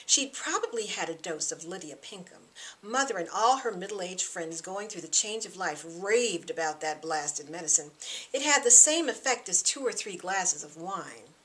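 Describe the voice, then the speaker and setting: A middle-aged woman reading her own novel aloud in expressive scripted narration. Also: on the low side, moderate pace, rough recording.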